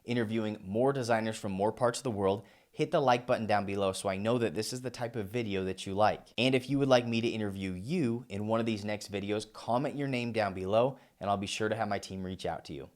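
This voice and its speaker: A man with a fairly low voice presents to the camera. He sounds upbeat and talks straight to his viewers, setting up the interviews ahead. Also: quick pace, hardly any pauses. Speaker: host.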